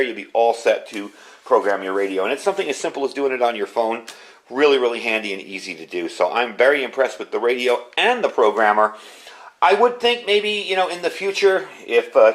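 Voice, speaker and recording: Middle-aged American man, casual reviewer. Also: mid-range voice. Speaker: product reviewer, amateur radio hobbyist. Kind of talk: casual product review to camera.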